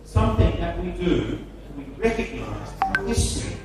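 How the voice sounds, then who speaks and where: An Australian man lectures at an unhurried, measured pace, hammering home each point with repeated phrases and firm stresses. His voice sits slightly high and reaches the mic from a distance. A big, echoing hall and a steady hiss of noise surround it. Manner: slow, emphatic, repetitive phrasing with strong stresses. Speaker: guest lecturer. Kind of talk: seminar lecture. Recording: very echoey hall from far off, noisy.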